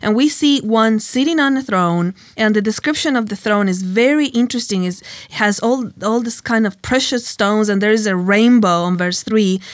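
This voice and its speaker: A woman with a Spanish accent co-hosting a Bible teaching show, talking in a lively, chatty way with frequent fillers and laughter. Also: mid-range voice, moderate pace, few pauses, no echo. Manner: animated storyteller, laughs often, many fillers. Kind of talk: conversational Bible study chat.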